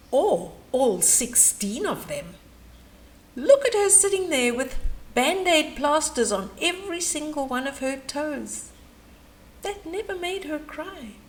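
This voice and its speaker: A woman storyteller with a high, very expressive voice. She reads a children's story at an unhurried pace, adding chatty little asides and questions as she goes. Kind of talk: animated bedtime story read-aloud.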